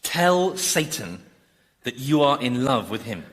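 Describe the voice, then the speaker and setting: Sardonic damned soul, swooping voice. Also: male voice, mid-range voice, sing-song, slow, a bit bright. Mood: incredulous. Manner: dry, sarcastic, incredulous. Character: sardonic damned man, weary victim of demon torturer. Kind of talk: radio sitcom acting. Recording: long hall reverb, clear background noise.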